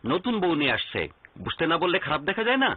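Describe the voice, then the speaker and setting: Stern, husky middle-aged father. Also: high voice, very animated, quick pace, no echo, heavily acted. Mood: gruff. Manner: commanding, scolding. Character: stern, suspicious middle-aged father guarding his daughter. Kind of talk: Bengali TV drama acting. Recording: thin phone-like sound, faint music.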